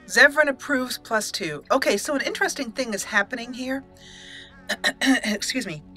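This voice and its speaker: A woman with a bright, clear-cut voice hosts a roleplay playthrough. She chats informally and reads her character's lines aloud, close to the mic, with game music faintly behind her. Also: fairly high pitch, normal speed. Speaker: gaming channel host. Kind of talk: roleplay let's play commentary, reading dialogue aloud.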